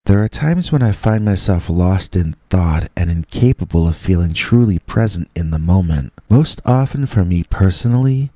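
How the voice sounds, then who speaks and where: Very deep, slow, husky male storyteller. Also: warm tone, no echo, clean studio sound. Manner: deliberate, measured, with dramatic pauses. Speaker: storyteller, host reading listener stories as a Bigfoot persona. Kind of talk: slow scripted first-person scary story narration.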